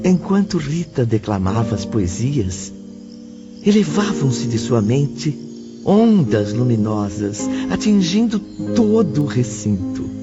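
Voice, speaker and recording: Slow, solemn male storyteller over music. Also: mid-range voice, big pitch swings. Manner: solemn, poetic, measured. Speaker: narrator. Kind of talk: scripted audio novel narration. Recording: dull muffled audio under music.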